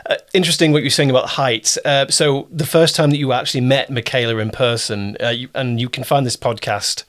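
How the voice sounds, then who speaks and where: A man's voice: mid-range voice, steady tone, fairly quick, a bit bright. Chatty, rambling man interviewing casually. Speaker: interviewer and drama producer.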